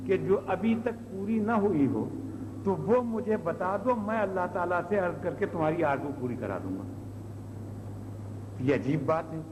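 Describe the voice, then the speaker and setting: Male Islamic preacher, high voice, explains step by step with rhetorical questions, noisy old cassette sound. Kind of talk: sermon, explaining religious stories. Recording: old noisy cassette, long hall reverb.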